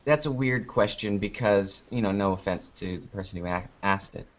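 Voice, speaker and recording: Man, lowish voice, casual interview guest, rambling and informal, muffled lo-fi recording. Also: moderate pace. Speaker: interview guest, podcast creator. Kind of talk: casual conversational interview answers. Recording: dull lo-fi online call audio.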